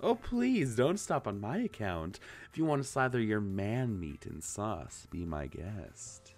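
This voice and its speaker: Dude doing a teasing young woman, slow low drawl, swoops high then low. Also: big pitch swings, darkish tone, faint music behind, exaggerated voice. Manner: mocking, drawling.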